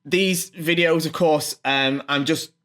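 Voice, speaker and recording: A man hosting his own channel, translating a video message in an informal, off-the-cuff way, with many pauses, ums and restarts. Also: fairly high pitch, steady tone, fairly bright tone. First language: not Japanese.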